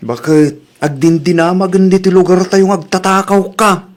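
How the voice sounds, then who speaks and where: A man's voice: high voice, fairly even intonation, normal speed, close to an everyday voice. A man playing an exasperated, blaming husband who nags and reproaches in a comedy drama. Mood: accusing.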